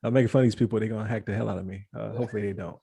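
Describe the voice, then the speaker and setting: A man's voice: on the low side, steady tone, rapid-fire, vocal fry, warm tone, husky. A man co-hosting a casual podcast chat, joking and rambling with lots of fillers and restarts. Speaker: podcast co-host.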